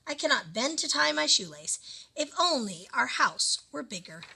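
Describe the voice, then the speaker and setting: A woman's voice: sits high, sing-song, normal speed, creaky, sharp tone, slightly rough, pitched above the performer's own voice, heavily acted. A woman voicing a desperate, overwhelmed poor husband in a storybook, pleading and wailing for help. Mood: complaining.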